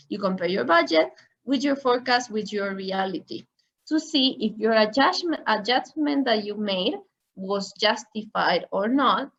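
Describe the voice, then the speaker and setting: A woman's voice: mid-range voice, a bit bright, muffled recording. A woman giving an online masterclass on finance, explaining step by step with examples. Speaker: finance trainer. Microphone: video call.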